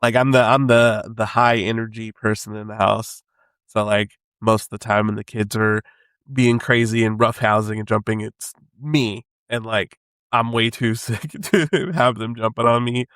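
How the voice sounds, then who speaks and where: Husky sick guy hosting a podcast, laid back, rambly, dry sound. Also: fairly low pitch, fairly even intonation, normal speed, a bit bright, dry recording. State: sick with a head cold, husky. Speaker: podcast co-host. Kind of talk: casual chat.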